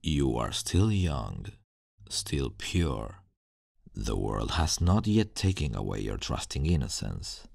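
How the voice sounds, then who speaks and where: A man playing a zealous, self-righteous villain scientist in an ASMR roleplay, speaking in a deliberate, theatrical, intense way with a gravelly, husky voice. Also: very deep voice, darkish tone, no room sound, clean studio sound, exaggerated voice. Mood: fervent, persuasive. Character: menacing, zealous male supervillain scientist, self-righteous and brooding.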